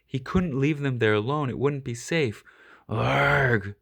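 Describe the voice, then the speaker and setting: A man reading a children's picture book aloud as an expressive storyteller. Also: medium pitch, moderate pace. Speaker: storybook reader.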